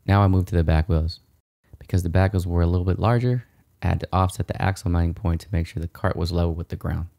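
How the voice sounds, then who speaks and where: A man's voice: low voice, little pitch movement, fast talker, gravelly, dark tone, dry recording, clean studio sound. A man in his thirties hosting a DIY video, narrating a how-to in a casual, informal, explanatory way.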